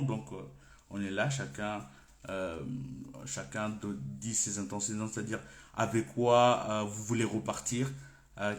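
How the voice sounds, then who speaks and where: A man whose first language is Comorian, giving an unscripted coaching talk to camera in French. He thinks aloud in an informal way, often stopping and restarting his phrases. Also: fairly low pitch. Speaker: personal development coach. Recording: echoey room.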